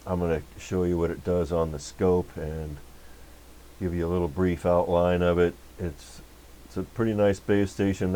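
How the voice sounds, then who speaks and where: A man with a deep voice and a dark, relaxed tone hosts a casual workbench demonstration. He talks informally and folksily, rambling along as he explains things. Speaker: host of a radio repair channel.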